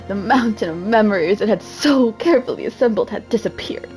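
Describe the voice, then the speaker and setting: A woman's voice: medium pitch, expressive, a little fast, a little mellow, soft background music, low-quality recording. A woman giving a mock-dramatic amateur reading, theatrical and self-mocking, often breaking off into casual asides. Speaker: amateur reader.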